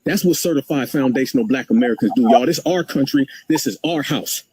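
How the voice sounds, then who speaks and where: A man giving a fiery, defiant speech on a live stream, with emphatic rises in pitch. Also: brisk, warm tone, slightly rough, no room sound. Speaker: guest speaker.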